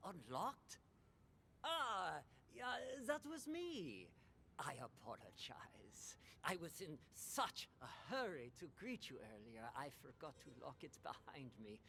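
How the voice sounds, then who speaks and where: A young female asylum administrator in an audio drama game, with a very lively, swooping delivery. She is breezy and evasive, over-explaining nervously and trailing off. Faint ambience sits behind her. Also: deep voice, slow, creaky, a little hiss or hum, exaggerated voice. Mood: apologetic, smooth. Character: young, evasive, chatty woman administrator of a mental institution. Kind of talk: interactive radio drama acting.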